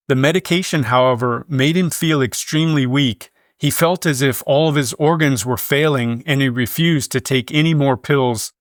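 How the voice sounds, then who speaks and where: Male news reader, mid-range pitch, formal measured delivery, very dry studio-style sound. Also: no echo. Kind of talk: scripted news narration.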